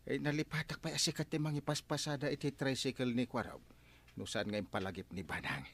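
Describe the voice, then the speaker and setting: A man's voice: medium pitch, fairly quick, fairly bright tone, husky. A man with an Ilocano accent playing a flirty, fun-loving middle-aged village captain in a broad radio comedy drama, exclaiming and stammering. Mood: gleeful, boisterous. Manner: exclamatory, stammering when flustered.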